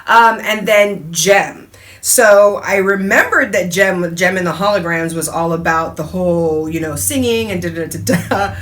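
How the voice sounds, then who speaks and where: A middle-aged woman hosting her own talk show in a chatty, casual way, laughing often and breaking into animated asides. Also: on the low side, measured pace. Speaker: radio host and DJ.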